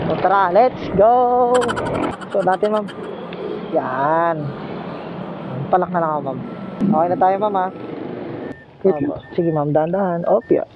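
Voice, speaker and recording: A man's voice: high voice, measured pace, pauses often, lo-fi sound, noisy background. A Filipino man chatting casually as a motorcycle taxi rider and vlogger, polite and jokey, into a helmet intercom microphone. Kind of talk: casual ride vlog chat. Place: outdoors on a motorcycle on the road.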